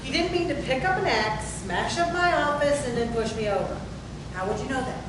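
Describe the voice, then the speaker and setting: An actress playing an indignant, sarcastic boss in a staged dramatic reading, with a biting delivery. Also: female voice, animated, a little fast. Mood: scornful. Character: indignant, sarcastic boss who was attacked. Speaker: actor at a play table reading. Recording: echoey room from far off, noisy rumble.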